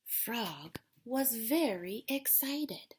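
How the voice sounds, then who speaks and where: A woman's voice: fairly high pitch, expressive, drawn-out words, background noise. A woman teacher reading a children's story aloud, expressively and dramatically, with explanatory asides. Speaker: elementary school teacher.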